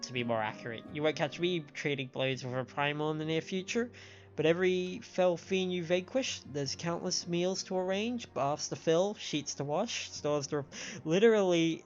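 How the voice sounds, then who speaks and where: Androgynous streamer voice, middle pitch, reading game dialogue aloud, stumbling over words, dull lo-fi mic, music behind. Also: moderate pace. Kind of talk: live game stream commentary and read-aloud. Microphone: microphone on a stand.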